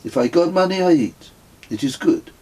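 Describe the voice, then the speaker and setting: A man's voice: fairly high pitch, animated, moderate pace, warm tone, husky, voice pushed up. A man voicing a serene, smug male pilgrim who speaks broken English with a strong French accent, in a sing-song, self-satisfied way.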